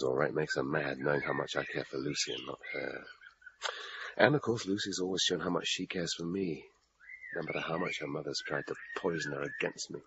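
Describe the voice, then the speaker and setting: A man's voice: extremely low pitch, gravelly. An actor playing a bitter middle-aged British father in a radio drama, with a dry, sardonic, cutting manner. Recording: dull, noisy radio play recording.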